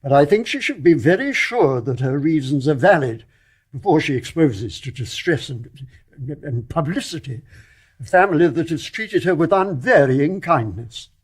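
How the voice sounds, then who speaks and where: An actor playing a courteous, cautious English major in a period radio drama, hesitant and politely measured, with slight stammers. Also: male voice, a little high, lively intonation, husky. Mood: grave. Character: courteous, cautious retired-officer gentleman, gently reasoning family friend. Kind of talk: 1920s stage play as radio drama.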